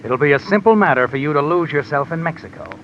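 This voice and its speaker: An actor playing a mysterious narrator who needles the listener in the second person, in a 1950s radio drama. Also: male voice, fairly high pitch, animated, fast talker, slight background noise, close to an everyday voice. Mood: insinuating. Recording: lo-fi old radio broadcast. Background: dramatic music score.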